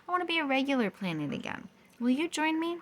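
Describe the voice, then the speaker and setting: A woman voicing a small, proud male dwarf planet with a hot temper, indignant and shouting in a children's storybook. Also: high-pitched, very animated, fast, pitched above the performer's own voice. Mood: demanding.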